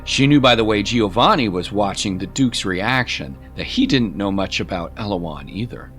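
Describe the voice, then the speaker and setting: A man's voice: on the low side, animated, moderate pace, a bit bright. A man narrating a scripted story as an audiobook narrator, in a dramatic storytelling style. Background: music.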